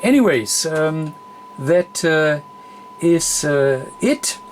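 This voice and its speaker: Slow, halting man with a voice. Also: a little high, animated, slight background noise. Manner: halting, hesitant delivery in short broken phrases. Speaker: radio repair technician presenting a tutorial. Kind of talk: unscripted bench demonstration commentary.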